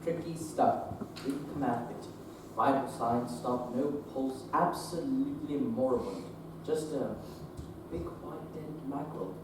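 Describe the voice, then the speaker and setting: A man's voice: medium pitch, measured pace, close to an everyday voice. A young man acting a wry, guarded Victorian doctor on stage, telling a dry story with chuckles. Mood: amused. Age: young adult. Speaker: stage actor. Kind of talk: live stage play acting. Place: theatre stage. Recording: echoey stage recording from far off, noisy.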